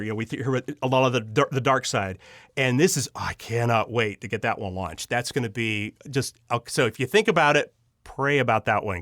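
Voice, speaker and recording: A man's voice: mid-range voice, fairly bright tone, no echo, clean studio sound. A man speaking as an interview guest, sharing personal testimony casually and earnestly, with emphatic repetition and frequent restarted sentences.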